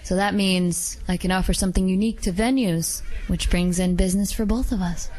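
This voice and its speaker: A woman voicing a polite, gentle female werewolf dancer who is secretly an assassin, in a hobby audio drama. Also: on the low side, fairly quick, lightly acted, rough recording. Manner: polite, gentle, softly coaxing.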